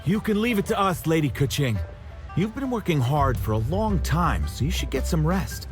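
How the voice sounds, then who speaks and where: A man's voice: on the high side, expressive, normal speed, faint music behind, close to an everyday voice. A man playing a polite, dutiful guard. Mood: respectful. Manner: respectful, report-like replies. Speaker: game character. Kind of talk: game cutscene voice acting.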